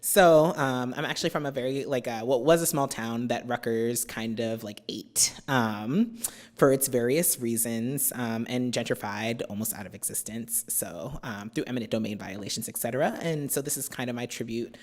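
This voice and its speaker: An American woman from New Jersey giving a poetry reading, chatting through her introductions with many fillers and reading the verse slowly and with care. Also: a bass voice, a little mellow. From New Jersey, USA. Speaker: poet. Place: university symposium venue.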